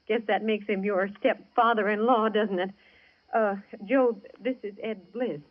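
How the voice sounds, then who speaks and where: A woman acting an anxious, apologetic hostess in an old radio thriller. She speaks hesitantly at a middling pitch, fluttery and flustered. The recording is dull and dated. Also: fairly even intonation, slow, no echo, close to an everyday voice. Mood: flustered, polite. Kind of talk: 1940s radio suspense acting.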